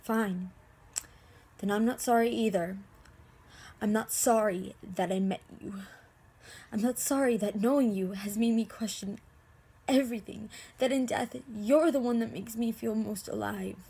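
A woman acting an anguished, lovestruck young heroine in amateur supernatural-drama monologues, with emotional, halting delivery. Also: a little high, creaky, warm tone, breathy edge, full character voice. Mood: defiant, loving.

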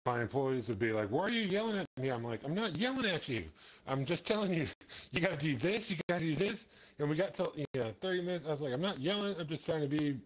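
A man with a deep voice chatting casually with a friend over game voice chat, giving laid-back short replies. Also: animated, fast, low-quality recording. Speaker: teammate on voice chat.

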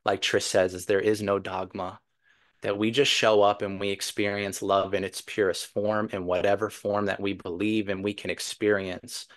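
A man in his forties with a fairly low voice speaks as a spiritual teacher. He is earnest and deliberate, circling back to repeat key phrases so that they land. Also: level tone. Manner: earnest, deliberate, emphatic repetition. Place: indoors, seated before a virtual backdrop.

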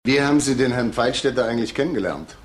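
A man's voice: medium pitch, quick pace, close to an everyday voice. An actor playing an eager, inquisitive male junior police investigator in a German crime radio drama.

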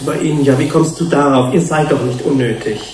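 An actor playing a sensitive, protective researcher in a German radio drama, earnest and emotional. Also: male voice, medium pitch, a little fast, darkish tone, slight background noise, natural voice, poor audio.

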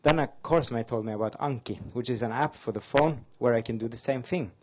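Norwegian guy doing a quick upbeat talk on stage, bit of joking at himself. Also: mid-range voice. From Norway. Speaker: conference speaker. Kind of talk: fast-paced slide talk. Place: conference hall.

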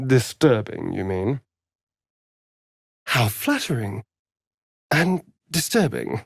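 An ancient, vain male vampire rogue from a fantasy video game. The delivery is slow and savouring, with pitch that swoops theatrically. He sounds arch and mocking, recorded very dry and close. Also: sing-song, gravelly, husky, dry recording, full character voice. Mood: wry, amused. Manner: arch, flamboyant, drawling, mocking. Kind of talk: video game character acting.

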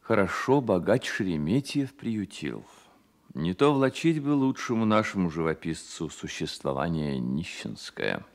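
An actor playing an earnest, loyal young baron poet, speaking in a measured Soviet radio drama style. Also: male voice, deep voice, big pitch swings, full character voice. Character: earnest, loyal baron poet Delvig, man in his twenties.

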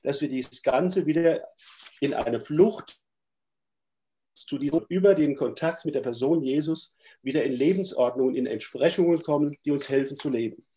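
A man's voice: a little high, moderate pace, mellow. A middle-aged man with a stuffy cold gives a thoughtful, hesitant lecture from a room at home over a video call. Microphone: webcam on a video call.

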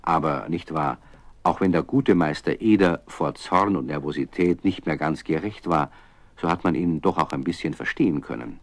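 A low, dry-recorded storyteller's voice. He narrates for children in a kindly, avuncular way, explaining things directly to the listener. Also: moderate pace, no echo. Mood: gentle, explaining.

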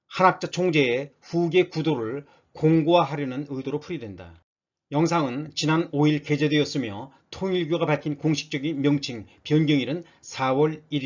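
A man with a somewhat high-pitched voice reads scripted news as a narrator. His delivery is formal, like an announcer reading out a report. The voice-over sounds slightly muffled and lo-fi.

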